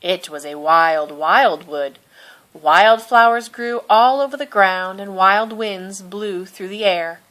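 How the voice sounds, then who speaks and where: A woman reading a children's picture book aloud in a gentle, expressive storytelling manner. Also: medium pitch, takes it slowly, poor audio. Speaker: storybook reader.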